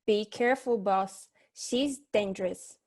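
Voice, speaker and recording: A woman's voice: mid-range voice, measured pace, darkish tone, lightly acted. A woman playing a private eye's worried, loyal assistant, speaking earnestly in a comic detective radio play. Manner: earnest, cautioning.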